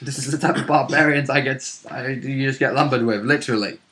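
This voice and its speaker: A man's voice: medium pitch, fairly even intonation, fast, pushed and bright. A man talking casually as a tabletop game player, in an informal, sweary, colloquial way. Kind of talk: casual chat.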